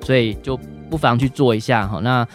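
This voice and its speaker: A man's voice: mid-range voice, brisk. A man with a Taiwanese Mandarin accent, a doctor giving informal advice as a guest, often ending phrases on small particles. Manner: frequent trailing particles, role-plays examples in passing. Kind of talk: informal question-and-answer advice. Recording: music behind the voice.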